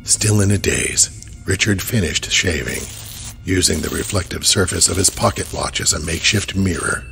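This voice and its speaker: A man narrating a horror audio drama in a grave, measured storytelling manner. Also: low voice, expressive, vocal fry, pushed and bright, soft background music.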